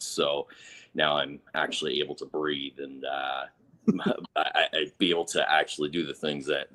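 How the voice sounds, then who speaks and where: Vermont man, very low voice, easygoing talker, still recovering his voice. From northern Vermont. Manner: relaxed, many fillers. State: recovering from lost voice. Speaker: podcast guest, author. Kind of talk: casual interview.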